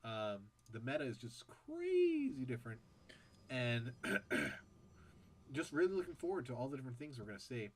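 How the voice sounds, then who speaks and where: A man's voice: medium pitch, wildly swooping pitch, normal speed, a little hiss or hum. A man casting a strategy game match on his own, nasal and stuffed up after a bad sore throat, chatting casually between plays. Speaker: esports caster. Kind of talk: solo game commentary.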